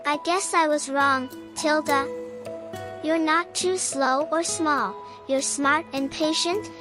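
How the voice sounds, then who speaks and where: Woman playing a cheeky male squirrel, storybook character, high voice, taunting chatter, music behind. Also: slow. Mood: admitting, amazed. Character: mischievous teasing male squirrel.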